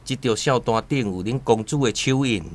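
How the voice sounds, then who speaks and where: Actor as a pushy Taiwanese debt collector. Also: male voice, medium pitch, normal speed, close to an everyday voice. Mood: demanding. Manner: pushy, demanding. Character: insistent male debt collector. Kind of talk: radio drama acting.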